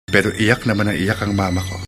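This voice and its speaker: Low-voiced, patient husband, played by a man. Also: level tone, quick pace, natural voice. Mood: earnest, persuading. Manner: soothing, earnest. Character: gentle, patient, reasoning husband. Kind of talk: Filipino radio drama acting. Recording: loud music bed under voice.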